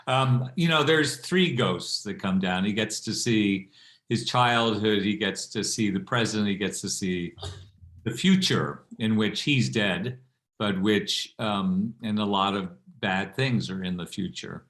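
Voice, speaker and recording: A man speaking casually as a guest in a panel discussion, haltingly thinking aloud. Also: fairly low pitch, fairly bright tone. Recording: roomy, echoey sound.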